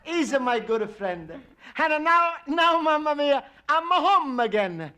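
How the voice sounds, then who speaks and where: A man's voice: extremely high pitch, very animated, takes it slowly, bright, forceful tone, full character voice. An actor playing a sentimental Italian immigrant man who speaks broken English with an Italian accent, from a vintage 1950 radio broadcast. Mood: tender. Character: warm-hearted middle-aged Italian immigrant man.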